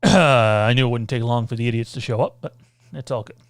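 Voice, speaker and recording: A man's voice: mid-range voice, a little fast, no room sound, clean studio sound. Man hosting a casual livestream. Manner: rambling, reads out viewer comments, many filler sounds. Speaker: podcast host.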